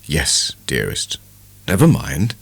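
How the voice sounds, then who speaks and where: A man voicing an ardent, tender young gentleman suitor in a dramatic audiobook, coaxing and affectionate. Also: rumbling low, wildly swooping pitch, slow, gravelly, breathy edge, no room sound, pitched below the performer's own voice, heavily acted. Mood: indulgent, teasing.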